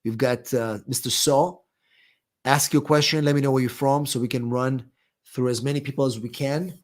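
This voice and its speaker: A middle-aged man with an Israeli accent answers viewers' questions on a live stream. He speaks in a relaxed, conversational way, often pausing with fillers and restarting sentences. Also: mid-range voice. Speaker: immigration attorney, live stream host.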